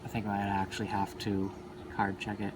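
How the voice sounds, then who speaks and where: A man's voice: fairly low pitch, completely flat delivery, fairly quick, background noise. A man giving casual, unscripted hands-on commentary, rambling a little as he thinks aloud while presenting as a hobbyist. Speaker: arcade machine hobbyist presenter.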